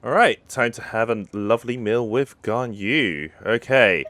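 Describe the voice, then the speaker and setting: A man's voice: medium pitch, a little slow, fairly bright tone, no echo. A man giving casual, offhand commentary as he plays through a video game. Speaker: game streamer. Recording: game music behind the voice.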